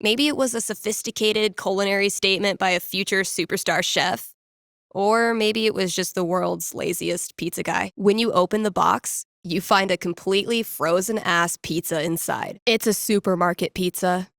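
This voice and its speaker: A woman's voice: mid-range voice, normal speed, gravelly, a bit bright, no room sound, clean studio sound. A woman playing a laid-back, playful young radio DJ in a video game, with wry, slangy, mock-dramatic call-in patter. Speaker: call-in radio show host.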